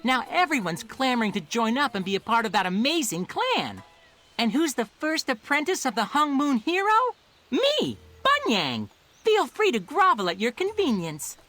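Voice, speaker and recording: High, cocky bratty game kid. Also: female voice, sing-song, normal speed, fairly bright tone. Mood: smug, boastful. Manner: boastful, haughty, taunting. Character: cocky bratty kid boasting as self-declared top apprentice. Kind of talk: video game character acting.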